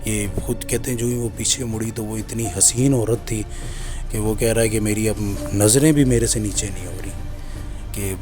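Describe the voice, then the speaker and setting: A man's voice: lowish voice, fairly even intonation, moderate pace. A man informally retelling a listener's scary story as a narrator, in a conversational way with repeated reporting phrases. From Pakistan. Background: music.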